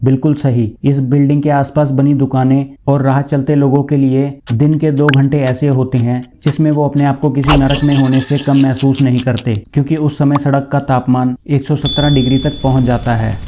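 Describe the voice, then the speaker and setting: A man narrates a scripted explainer as the host, in a mid-pitched voice. The recording has clear noise behind him, with clicks in it. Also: flat delivery, normal speed, hardly any pauses. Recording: clearly noisy track with clicks.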